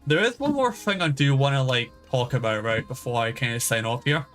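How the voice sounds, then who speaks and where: A man's voice: medium pitch, expressive, a little fast, a bit bright. A man chatting casually as a game streamer, rambling and swearing freely as he goes. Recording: music playing behind the voice. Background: background game music.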